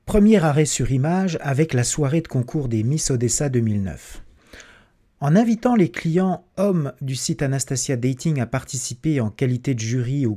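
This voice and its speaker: A man narrating a documentary in a measured, analytical scripted voice-over. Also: mid-range voice, dark tone.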